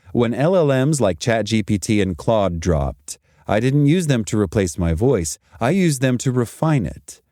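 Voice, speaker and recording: Mellow man, motivational talk. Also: lowish voice, dry recording, clean studio sound. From Zimbabwe and South Africa. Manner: emphatic, deliberate, short punchy sentences. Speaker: podcast host and career speaker. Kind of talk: scripted motivational career talk.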